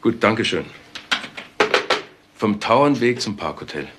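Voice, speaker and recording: Police investigator, lowish voice, laconic, curious, noisy radio crime drama. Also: male voice, lively intonation, slow pace. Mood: businesslike.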